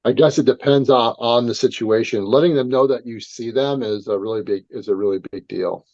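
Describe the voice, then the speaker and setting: A man's voice: mid-range voice, brisk, a little mellow, no echo, muffled recording. A man guest on a podcast, explaining self-defense advice in an earnest, conversational way. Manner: earnest, explains with hypothetical quotes.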